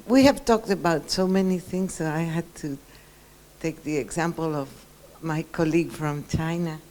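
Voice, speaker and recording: A middle-aged woman from Peru gives an earnest reflection to a conference workshop, pausing often as she speaks. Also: fairly low pitch, darkish tone, low-quality recording.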